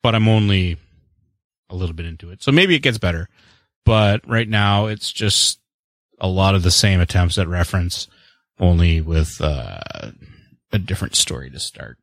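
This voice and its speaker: A man's voice: sits low, animated, moderate pace, vocal fry, no room sound, clean studio sound. A man co-hosting a podcast, chatting casually in a rambling, wry way about movies and books. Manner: rambling, wry, self-deprecating. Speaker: podcast co-host. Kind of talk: casual chat.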